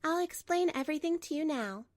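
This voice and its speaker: A woman playing a composed betrayed wife in a manga dub, narrating and speaking with measured, pointed delivery. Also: sits high, lively intonation, no room sound, studio quality. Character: betrayed wife, composed, coolly resolute, narrating her story.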